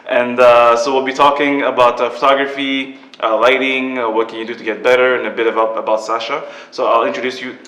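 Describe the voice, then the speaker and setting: A man's voice: medium pitch, level tone, fairly quick, hardly any pauses, a bit bright. A young man hosting a podcast interview, upbeat and chatty, with lots of fillers. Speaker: podcast host. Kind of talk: casual interview. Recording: echoey room.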